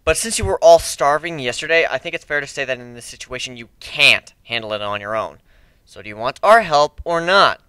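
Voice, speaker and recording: A man's voice: medium pitch, expressive, a little fast, pushed and bright, clear, resonant tone, dry recording. A man playing a confident, commanding male pirate crew leader in a fantasy story reading, assertive and reasoning.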